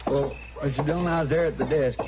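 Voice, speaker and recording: An actor playing a folksy, eager, simple marshal's helper with a rustic, rambling rural American way of speaking. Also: male voice, a little high, fairly even intonation, fast, very noisy, natural voice. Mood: earnest, flustered. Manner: rustic, rambling, colloquial drawl. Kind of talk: 1950s Western radio drama acting. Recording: muffled vintage radio recording with music.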